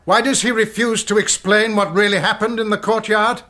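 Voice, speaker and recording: Hard police inspector, formal, assured, clipped, high-pitched, bright and tense, radio play. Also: male voice, steady tone, moderate pace. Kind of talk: radio drama acting.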